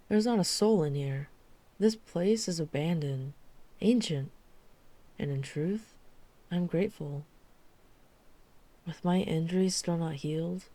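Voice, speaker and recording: A woman playing a guarded, weary vampire hunter who is herself a vampire, speaking in a measured, serious way in an ASMR roleplay. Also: fairly low pitch, dark tone, close to an everyday voice. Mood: dry, matter-of-fact. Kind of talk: ASMR roleplay audio drama acting.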